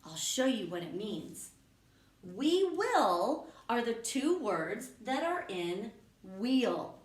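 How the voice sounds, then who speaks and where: A woman's voice: on the high side, big pitch swings, takes it slowly. A woman teaching a lesson to young children, speaking patiently and encouragingly and explaining things step by step. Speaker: elementary school teacher. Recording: echoey room.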